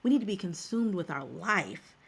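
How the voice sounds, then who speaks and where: Middle-aged woman career coach on a podcast, mid pitch, talks in a conversational way and hammers points home by repeating words. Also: lively intonation, normal speed, vocal fry, slightly rough. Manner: emphatic, repeats words for stress.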